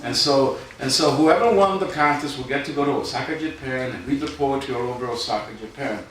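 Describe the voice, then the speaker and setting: A man's voice: mid-range voice, fairly quick, fairly bright tone. Echoey middle-aged man reading poetry live. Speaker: poet. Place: live event in a reverberant room, audience present. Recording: very echoey hall, distant, noisy.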